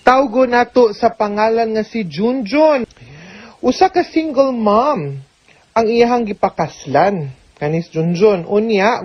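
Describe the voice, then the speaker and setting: A woman's voice: medium pitch, slightly rough, dull audio. A woman with a Cebuano Filipino accent hosting a radio advice show as an attorney, chattily answering a listener's letter and mixing in English with frequent tag questions.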